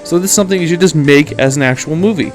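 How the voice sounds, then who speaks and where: A man's voice: on the high side, racing, soft background music. A blunt, emphatic man with a Canadian accent giving opinionated film commentary as a podcast host, sometimes swearing.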